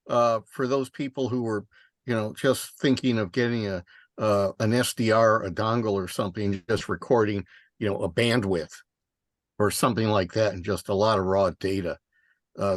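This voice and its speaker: A man speaking casually on a teleconference in a halting, tentative way. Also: on the low side, dry recording, clean studio sound. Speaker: meeting participant. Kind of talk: casual conference call chat.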